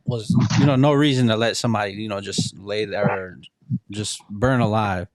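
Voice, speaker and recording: A man's voice: on the low side, normal speed. A man chatting casually, with heavy slang and swearing like a vlogger talking things over. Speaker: vlogger interviewing a witness.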